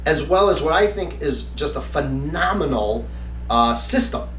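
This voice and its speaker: A man's voice: on the high side, animated, unhurried, fairly bright tone. A middle-aged man hosting a review in an earnest, emphatic way, speaking to camera in a semi-scripted style. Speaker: host, tabletop game reviewer. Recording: roomy, distant mic, faint background noise.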